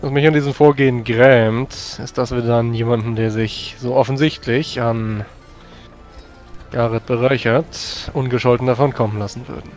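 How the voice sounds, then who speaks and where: Male player, average pitch, improvised fantasy game dialogue, courtly formal wording, music underneath. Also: steady tone, moderate pace, darkish tone. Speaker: tabletop role-playing player.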